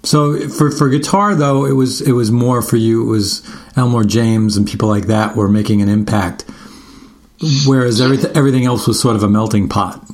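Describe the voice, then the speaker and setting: A man from the US East Coast hosting a guitar radio show, asking questions in a relaxed, chatty way with fillers and restarts. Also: mid-range voice, moderate pace. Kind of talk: casual radio interview.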